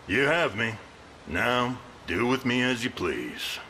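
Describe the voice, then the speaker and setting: A man playing a gruff, wry town lord in a fantasy game, with folksy, sardonic, archaic phrasing. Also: medium pitch, big pitch swings, takes it slowly, sharp tone, a little hiss or hum, heavily acted. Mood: weary, resigned. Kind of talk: video game fantasy acting.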